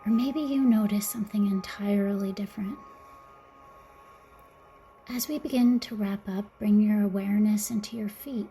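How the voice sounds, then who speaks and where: A woman guiding a mindfulness meditation in a gentle, soothing, softly spoken way, with breathy phrase endings. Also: medium pitch, fairly even intonation, moderate pace, long pauses, faint music behind. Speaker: meditation guide. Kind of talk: guided mindfulness meditation narration.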